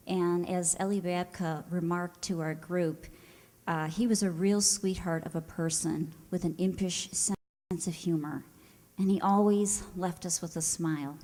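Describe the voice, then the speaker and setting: A woman introduces a stage show as its host. Her voice sits a little low, and she speaks in a measured, conversational public style. The sound carries the long reverb of a hall. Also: steady tone, mellow. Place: live performance hall before an audience.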